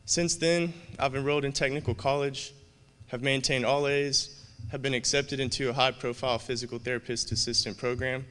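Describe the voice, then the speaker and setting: A man's voice: medium pitch, fairly even intonation, fairly bright tone. Earnest man speaking from an echoey podium. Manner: earnest, measured and heartfelt. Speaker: student award finalist. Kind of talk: prepared speech read at a podium. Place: large event hall stage. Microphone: podium microphone. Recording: echoey hall reverb.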